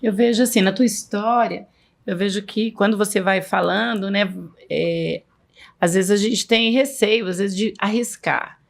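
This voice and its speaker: Gravelly-voiced woman interviewer. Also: mid-range voice, unhurried. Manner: encouraging, prompting questions. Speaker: podcast host, interviewer. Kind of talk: casual interview.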